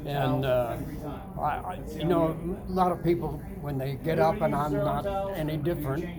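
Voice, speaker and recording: A man in his ninetieth year, talking casually from inside a sauna, halting with many fillers and restarts. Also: fairly high pitch, steady tone, a loud crowd. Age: older. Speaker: sauna customer. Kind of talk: casual testimonial chat. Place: indoors, seated in a sauna.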